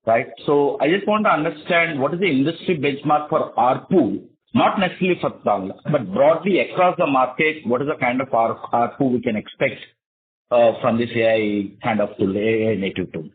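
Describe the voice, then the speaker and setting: A man's voice: mid-range voice, moderate pace. A man, an analyst caller putting a question on an earnings call. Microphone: phone call. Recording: thin narrow phone line.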